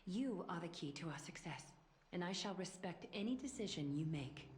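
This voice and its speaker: An actress playing a stately, composed leader in a fantasy game, speaking formally and with measured authority. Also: female voice, lowish voice, animated, natural voice. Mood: solemn, commanding. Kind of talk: dramatic fantasy game voice acting. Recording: echoey with music behind.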